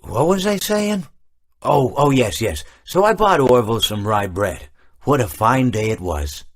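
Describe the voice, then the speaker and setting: A rambling, absent-minded hippo. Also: male voice, medium pitch, sing-song, unhurried. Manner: meandering, digressive, hesitant with restarts. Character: rambling hippo storyteller, male, adult, polite and absent-minded. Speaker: game character. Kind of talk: video game character dialogue.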